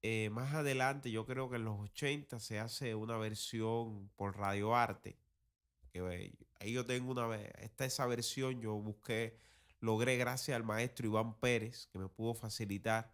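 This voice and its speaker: A man with a Cuban accent and a fairly low voice talks as a host. He explains things informally, in a discursive, emphatic, teacherly way. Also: fairly even intonation, moderate pace, smooth. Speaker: podcast host. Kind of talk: informal explanatory talk on radio history.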